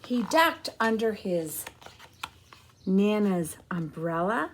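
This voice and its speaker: A woman reading a children's picture book aloud as a storyteller, with deliberate, expressive phrasing. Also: medium pitch, a little hiss or hum.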